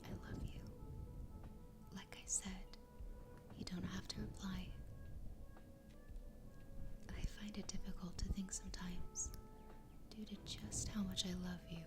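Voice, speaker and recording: A woman playing an adoring, obsessive fox girl wife in an ASMR roleplay, whispering breathily and close to the microphone in a slow, intimate, soothing way. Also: medium pitch, little pitch movement, over loud music, close to an everyday voice. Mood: blissfully obsessed. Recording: close whisper with clear background noise.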